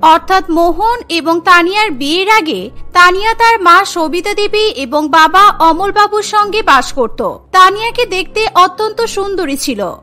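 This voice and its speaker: A woman's voice: very high-pitched, pushed and bright. A woman narrating a scripted cartoon story as storyteller.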